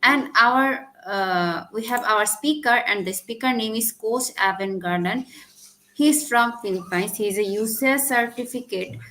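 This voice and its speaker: A woman from Bangladesh hosting an online webinar, reading a formal welcome in a halting way and restarting her lines. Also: on the high side, bright, forceful tone, rough recording. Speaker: webinar host.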